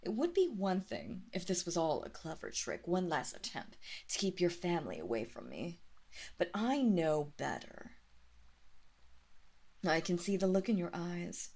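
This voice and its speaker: A woman playing a seductive, commanding vampire mistress in an intimate roleplay audio drama, speaking softly and deliberately with a hypnotic, teasing condescension and breathy half-whispers. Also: lowish voice, big pitch swings, heavily acted. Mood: fascinated, possessive.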